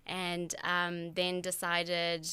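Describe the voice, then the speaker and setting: Guest, woman, 30s, from Argentina, bright crisp voice, dry close sound. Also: lowish voice, completely flat delivery, very slow, no room sound. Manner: warm, easygoing, laughs often. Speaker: company co-founder and COO, guest. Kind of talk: interview answers, casual chat.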